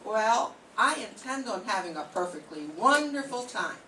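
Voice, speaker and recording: An effusive young visitor, played by an older woman, gushing in old-fashioned phrases at a slightly high pitch. The voice echoes in a room, heard from a distance with a faint hiss. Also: expressive, normal speed, close to an everyday voice. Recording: roomy classroom, far from mic, faint hiss.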